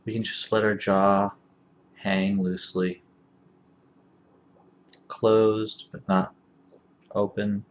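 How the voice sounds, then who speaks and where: A man with a low voice leads a guided body-scan meditation. His delivery is very level and gentle, leaving long pauses between phrases. The recording is somewhat dull and lo-fi. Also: flat delivery. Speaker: meditation instructor. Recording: dull lo-fi audio, faint background noise.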